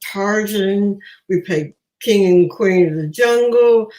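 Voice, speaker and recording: An older woman speaks slowly at a medium pitch, reminiscing like a practised storyteller. Her voice carries a clear roomy echo. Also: warm tone. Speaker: guest, master gardener. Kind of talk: conversational reminiscing. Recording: roomy, echoing sound.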